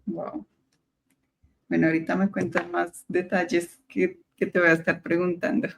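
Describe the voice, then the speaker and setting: A woman's voice: on the low side, level tone, normal speed, gravelly. A woman hosting a podcast, opening the show and asking friendly questions. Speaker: podcast host.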